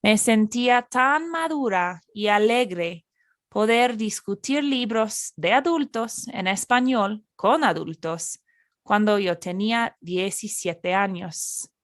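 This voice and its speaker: A woman in her thirties, a Spanish teacher and podcast host, reading a bilingual story slowly and deliberately for learners. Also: medium pitch, dry recording, studio quality. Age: 30s.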